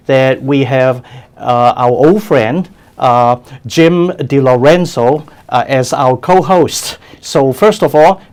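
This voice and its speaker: An older man from China hosts a talk show in a relaxed, conversational way. He repeats his words and starts sentences over as he thinks aloud. His voice sinks low and quiet when he turns reflective. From China, raised in Hong Kong. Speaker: show host, business consultant. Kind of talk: casual chat.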